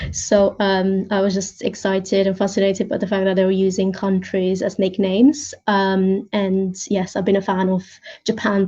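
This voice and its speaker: A woman's voice: medium pitch, mellow, lo-fi sound. A woman answering as an interview guest in a conversational, reflective and somewhat hesitant way. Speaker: interview guest, open-source intelligence researcher.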